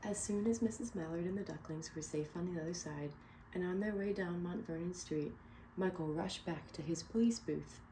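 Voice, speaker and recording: A woman reading a picture book aloud to children, pausing to ask them questions. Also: lowish voice, background noise. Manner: gentle, asks children questions. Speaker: read-aloud storyteller.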